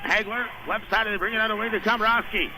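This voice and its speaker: High-pitched American man calling basketball on radio. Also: steady tone, fast. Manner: clipped, running description of the action. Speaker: play-by-play basketball announcer. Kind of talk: live radio sports commentary. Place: indoor basketball gym during a game. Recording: noisy live radio gym broadcast.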